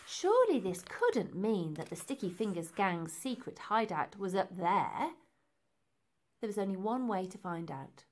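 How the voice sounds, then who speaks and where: A woman reading a children's story aloud in an expressive, deliberate and emphatic way. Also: mid-range voice. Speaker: storybook reader.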